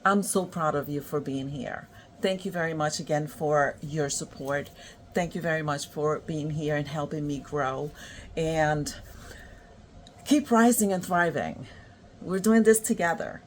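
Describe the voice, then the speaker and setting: Woman with a New York accent, from Puerto Rico; unhurried motivational coach, lower voice. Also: a little hiss or hum. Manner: slow, encouraging, warm affirmations. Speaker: motivational creator. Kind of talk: motivational self-help talk.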